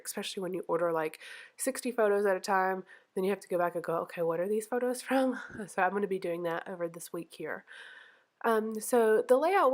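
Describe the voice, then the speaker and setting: A woman hosting a scrapbooking channel, talking through her craft process in a chatty, rambling way, with a nasal, congested voice as she gets over the flu. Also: medium pitch. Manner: chatty, rambling, frequent ums and self-corrections.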